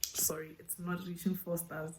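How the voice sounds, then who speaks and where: A woman's voice: on the low side, flat delivery, moderate pace, a little hiss or hum, poor audio. A woman chatting casually and candidly in slangy, conversational language to camera, as a book vlogger. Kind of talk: casual reading vlog chat to camera.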